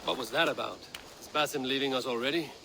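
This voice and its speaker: An actor playing a steady, loyal Viking warrior in a video game, speaking in an earnest, direct way. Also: male voice, mid-range voice, fast talker, creaky, natural voice. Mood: questioning, eager. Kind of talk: video game dramatic acting. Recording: game audio with loud background noise.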